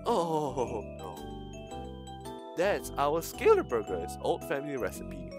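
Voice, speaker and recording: A man's voice: medium pitch, huge pitch swings, takes it slowly, music in the background, voice pushed up. A man voicing a flustered female school principal in a visual novel, a nervous bad liar who stammers out hasty excuses. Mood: defensive.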